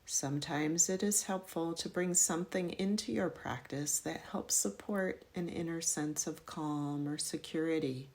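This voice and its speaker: A woman guiding a yoga nidra meditation in a measured, instructional way, offering gentle directions. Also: deep voice. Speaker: meditation guide.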